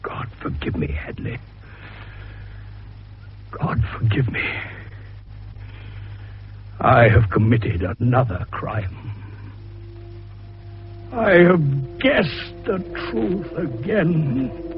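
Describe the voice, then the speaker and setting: An actor playing an anguished, remorseful detective with grave theatrical delivery in a 1950s radio drama. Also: male voice, medium pitch, very animated, very slow, pauses often. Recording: muffled old radio with loud music.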